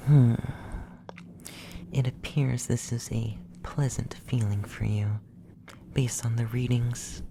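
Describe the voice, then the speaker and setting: A performer voicing a clinical, teasing alien examiner in an ASMR roleplay, speaking softly and often slipping into a whisper. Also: mid-range voice, steady tone, noisy background. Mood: hushed, observing. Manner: soft-spoken, often whispery, measured, clinical. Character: alien examiner abducting a human, clinical, teasing, reluctantly indulgent. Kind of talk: ASMR roleplay, soft close talk drifting into whispers.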